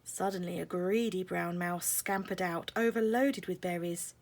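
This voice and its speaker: A woman's voice: on the low side, fairly even intonation, moderate pace. A woman narrating a children's picture book aloud as a scripted story.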